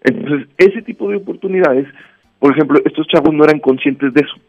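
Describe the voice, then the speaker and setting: A man's voice: fairly high pitch, fairly quick, lo-fi sound, faint music behind. A man with a Mexican accent giving a conversational motivational talk as a radio guest, teaching emphatically with anecdotes and rhetorical questions. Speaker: guest commentator on a radio segment.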